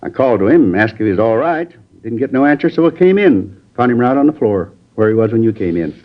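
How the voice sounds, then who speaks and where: An actor playing a plain-spoken neighbor who gives a witness account in a folksy, rambling way, in a 1950s radio drama. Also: male voice, mid-range voice, very animated, fast talker, lightly acted. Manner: folksy, rambling, ungrammatical speech. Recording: dull lo-fi old radio recording.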